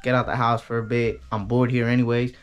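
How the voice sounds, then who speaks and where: A man's voice: mid-range voice, flat delivery, fast, clean tone. A young man talking slangy and casual as he vlogs, filming himself on a handheld camera in his room, a car and a packed concert hall. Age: young adult. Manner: slangy, hyped, laughing with friends. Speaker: vlogger. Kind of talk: casual vlog chat. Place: car, then loud indoor concert hall. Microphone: handheld action camera. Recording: loud concert music and crowd noise. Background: loud live music and crowd.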